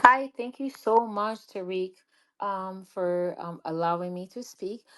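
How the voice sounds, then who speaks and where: A woman from Montreal with a voice, calling in to a radio show and speaking hesitantly with many pauses. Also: medium pitch, fairly even intonation, fairly bright tone, low-quality recording. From Montreal, Canada. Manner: hesitant, many ums and uhs. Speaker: caller. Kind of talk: call-in radio comment.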